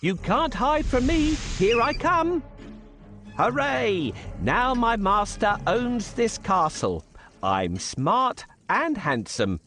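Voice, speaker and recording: A crafty cartoon cat servant with a high, swooping voice. He is polite and wheedling, theatrical in every phrase, and takes his time over music. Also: sing-song, takes it slowly, a bit bright, heavily acted. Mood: gleeful, triumphant. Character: sly, flattering clever talking cat, servant to his master. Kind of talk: children's cartoon fairy tale acting.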